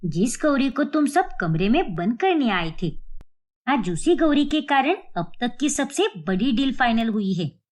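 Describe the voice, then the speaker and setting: A woman's voice: a little high, big pitch swings, measured pace, darkish tone. A woman voicing a feisty old grandmother who scolds emphatically but lovingly, in a cartoon moral story. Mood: scolding. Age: older.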